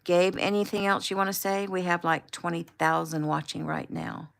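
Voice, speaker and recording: A woman's voice: lowish voice, fairly even intonation, moderate pace, creaky. A woman voicing a bubbly young woman who gushes while filming a live social media video. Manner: gushing, upbeat, chatty.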